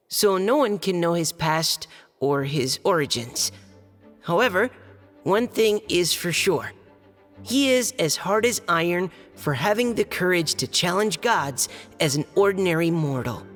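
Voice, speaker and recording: A dutiful young knight reads a long letter aloud in a slow, deliberate way. His pitch sits in a middle range, and the hall gives a long reverb under faint music. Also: animated, fairly bright tone. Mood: steady reading. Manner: careful, formal reading, halting when flustered. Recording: game cutscene with reverb and music.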